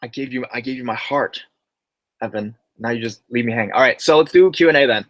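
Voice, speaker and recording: A man's voice: medium pitch, a little fast, fairly bright tone, no room sound. A young adult man who reads viewer horror stories aloud and then chats loosely with his live-stream audience, full of slang. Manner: slangy, loose and chatty, calls listeners bro and dude.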